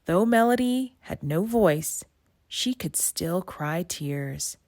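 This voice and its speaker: A woman narrates a children's story in a low voice. She takes her time, with expressive phrasing and dramatic pauses. The sound is very dry. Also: dry recording. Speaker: storybook reader. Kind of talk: scripted read-aloud narration.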